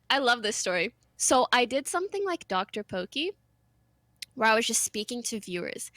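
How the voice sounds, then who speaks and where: A woman in her twenties whose first language is French, a streamer chatting casually in an animated, giggly way and trailing off mid-sentence. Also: fairly high pitch, measured pace, no echo. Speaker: streamer guest. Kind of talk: casual chat.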